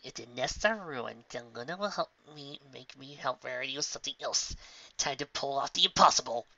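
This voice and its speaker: A woman's voice: extremely low pitch, a little fast, creaky, pushed and bright, lo-fi sound, exaggerated voice. A woman voicing a proud, sardonic female pony magician who mutters sarcastically and boasts. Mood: dry, resigned.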